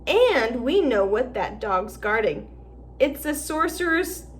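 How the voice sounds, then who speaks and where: A woman's voice: fairly high pitch, very animated, slow, a little hiss or hum. A woman reading aloud and voicing an eager schoolboy wizard who shows off what he knows. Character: eager schoolboy wizard, know-it-all about dragons.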